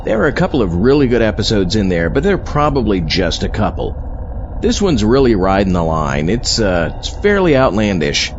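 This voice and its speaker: A man's voice: on the low side, very animated, normal speed. A man playing the sidekick and host of a comic audio show. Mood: casual presenting. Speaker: host introducing old radio dramas. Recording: muffled recording, clear background noise.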